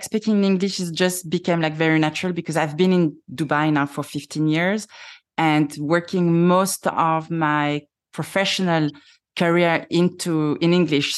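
A woman with a French accent, a native French speaker hosting an interview podcast in English, friendly and hesitant with many pauses to rephrase. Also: lowish voice, steady tone. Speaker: podcast host. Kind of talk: interview conversation.

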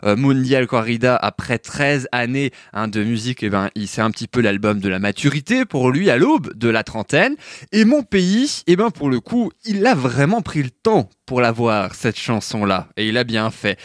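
A man's voice: mid-range voice, dry recording, clean studio sound. A man presenting music on the radio in a chatty, conversational way, filling his talk with small fillers. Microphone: studio microphone.

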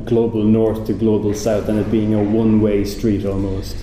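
Interviewer: woman from Ireland, friendly, very low dark voice, echoey room. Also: little pitch movement, moderate pace, slight background noise. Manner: friendly and encouraging, with soft laughs and backchannels. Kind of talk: conversational interview.

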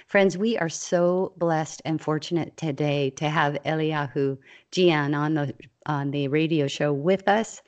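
A woman's voice: lowish voice, darkish tone. A woman hosting a radio show, introducing her guest and asking questions with enthusiasm. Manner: enthusiastic, effusive.

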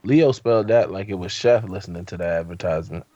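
A man's voice: low voice, quick pace, mellow, lo-fi sound. A man co-hosting a podcast in casual banter, shutting others down with dismissive, teasing comebacks. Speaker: podcast co-host.

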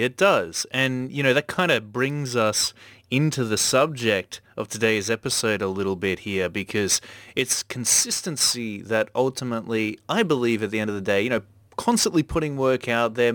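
A man hosting a podcast, talking at length in a relaxed, reflective way with many fillers. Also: medium pitch, moderate pace, no echo. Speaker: podcast host. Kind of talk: casual podcast chat.

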